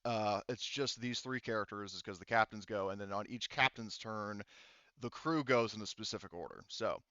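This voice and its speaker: A man's voice: lowish voice, normal speed, sharp tone, dry recording, muffled recording. A man acting as game master, casually narrating a tabletop game and muttering to himself as he checks rules and counts.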